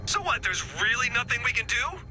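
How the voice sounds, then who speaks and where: A man's voice: very high-pitched, animated, brisk, rough recording. A man voicing a wry, slightly awkward resistance leader in a video game, talking conversationally. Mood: exasperated. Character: wry, hesitant male resistance leader rallying the player. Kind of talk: video game character dialogue. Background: music.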